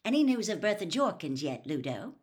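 A woman's voice: lowish voice, big pitch swings, fairly quick, darkish tone. Woman reading a mild, cautious wizard father. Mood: concerned. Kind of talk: audiobook character voice.

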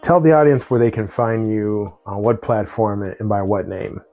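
A male podcast host with a low voice and a dark, relaxed tone. He presents and interviews in a conversational way, with faint music behind him. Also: normal speed, dull audio. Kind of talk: scripted intro, then casual interview.

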